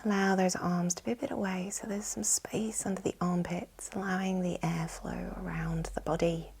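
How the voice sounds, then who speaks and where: A woman with a soft, breathy, whispery voice, soothingly guiding a yoga nidra relaxation with gentle instructions. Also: fairly low pitch, level tone, normal speed, slight background noise. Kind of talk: guided relaxation meditation.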